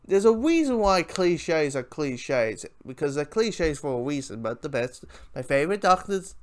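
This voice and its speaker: Higher-voiced man rambling through a fan review. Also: very animated. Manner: rambling, halting, with repeated words and false starts. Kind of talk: casual, unscripted fan review to camera or mic.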